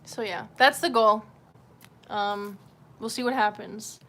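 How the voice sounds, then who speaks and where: A woman's voice: mid-range voice, fairly even intonation, slow pace, pushed and bright. A woman chatting casually on a livestream, rambling in a candid, sweary and self-deprecating way. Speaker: livestream host.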